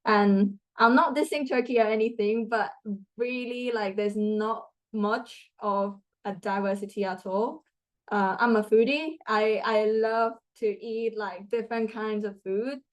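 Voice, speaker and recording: A woman's voice: medium pitch, steady tone, unhurried. Chatty young woman student from Thailand. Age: young adult. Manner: chatty, many fillers and restarts, laughs often. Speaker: student guest. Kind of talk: conversational interview answers.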